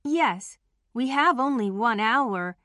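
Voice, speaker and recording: A friendly girl played by a woman speaks scripted textbook dialogue, every word carefully formed. Her voice is fairly high and her pace is slow. The sound is dry and close. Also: lively intonation, bright, forceful tone, no echo, heavily acted. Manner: careful, deliberate, clearly enunciated. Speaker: listening test voice actor. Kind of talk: scripted English lesson dialogue.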